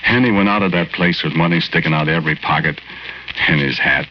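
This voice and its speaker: An actor playing the ghost of a rough-spoken, earnest tough guy who tells his story in slangy, wry 1940s radio drama style. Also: very deep voice, fast, noisy background. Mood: wry storytelling. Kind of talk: 1940s radio drama acting, first-person narration. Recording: muffled old 1940s radio recording, music.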